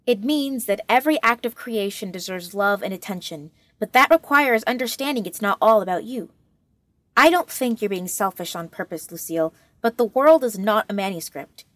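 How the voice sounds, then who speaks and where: An actress playing a patient, frank woman who gives earnest, gently firm counsel in an audio drama. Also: medium pitch, expressive, normal speed, no room sound, close to an everyday voice.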